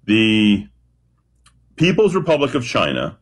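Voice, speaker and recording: Deep-voiced confident talk radio man. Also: huge pitch swings. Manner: confident, emphatic storytelling with dramatic pauses. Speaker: radio guest calling in.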